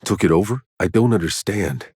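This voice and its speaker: A man's voice: sits low, expressive, brisk, darkish tone, husky, no echo, studio quality. A man playing a sardonic, battle-weary sci-fi soldier hero in a video game, with terse delivery. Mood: wary, serious. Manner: sardonic, terse, swears freely. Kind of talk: video game character voice lines.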